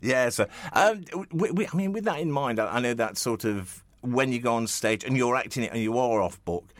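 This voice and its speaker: Chatty radio host, lively swooping voice. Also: male voice, medium pitch, a little fast, dry recording. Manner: chatty, rambling, enthusiastic. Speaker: radio interviewer. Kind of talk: conversational radio interview.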